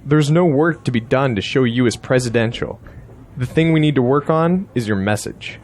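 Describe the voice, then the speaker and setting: An actor playing a confident, persuasive campaign strategist, courteous yet blunt, in an audio drama. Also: male voice, medium pitch, moderate pace, a little mellow, a little hiss or hum, natural voice.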